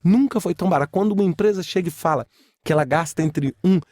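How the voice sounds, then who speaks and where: A man's voice: high-pitched, fast talker, warm tone, no echo, studio quality. A man with a Brazilian accent hosting a podcast alone, speaking informally and emphatically, with repeated phrases and rhetorical questions. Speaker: podcast host. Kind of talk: informal solo podcast commentary.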